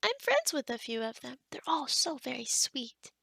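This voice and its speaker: A kind but flustered forest witch, played by a woman speaks in a high voice with some creak in it. She is chatty and scatterbrained, stammering and dropping into whispers as she talks to the listener. The ASMR roleplay is recorded dry, without room sound. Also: sing-song, normal speed, bright, forceful tone, no echo, heavily acted. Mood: gentle, explaining.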